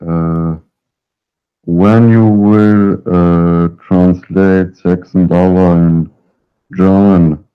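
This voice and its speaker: A man calling into a live show, speaking in a hesitant, halting way. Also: deep voice, fairly even intonation, clear, resonant tone. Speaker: caller. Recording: tinny phone line with faint music.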